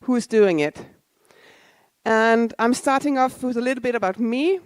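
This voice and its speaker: A woman's voice: fairly high pitch, a little slow, dry recording. A woman in her forties, originally from Denmark, giving a casual, self-deprecating conference talk with asides to the audience. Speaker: conference speaker. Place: conference hall.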